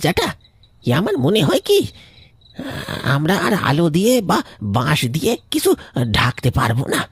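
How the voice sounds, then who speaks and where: Guy doing a worried village man, really rough husky voice, pitch all over, dry sound, cartoon. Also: huge pitch swings, normal speed, no echo, heavily acted. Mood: grim, insistent. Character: anxious village man urging action against evil. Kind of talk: Bengali cartoon horror story acting.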